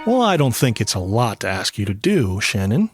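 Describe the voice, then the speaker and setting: An actor playing a polite, eager husband in an old-time radio drama, mild and earnest in manner. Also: male voice, lowish voice, sing-song, moderate pace, loud music behind the voice. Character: polite, eager husband hiring a boat pilot.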